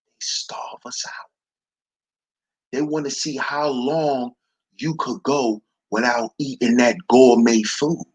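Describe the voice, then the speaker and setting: A man with a baritone voice hosting a slow, preachy commentary monologue, calling his listeners brothers. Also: steady tone, a little mellow, husky. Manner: preachy, addresses listeners as brothers, repeats "see". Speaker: commentary channel host.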